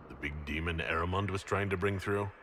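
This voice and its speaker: A man's voice: deep voice, a little fast, a bit bright, slight background noise. A man playing a blunt, skeptical hero and leader in a video game, questioning what he is told. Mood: wary, blunt. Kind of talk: dramatic video game voice acting.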